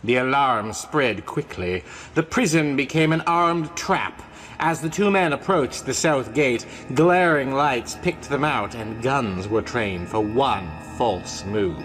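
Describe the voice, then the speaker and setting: A man's voice: mid-range voice, lively intonation, slow pace, a bit bright, faint music behind, poor audio. A man narrating a scripted horror story in a theatrical, ominous manner.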